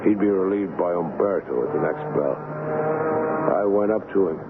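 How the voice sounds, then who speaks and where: An actor playing an earnest young doctor in a 1970s radio drama. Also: male voice, sits low, normal speed. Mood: sober narration. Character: earnest, indignant young ship's passenger doctor narrating his story. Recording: noisy, tinny old radio broadcast.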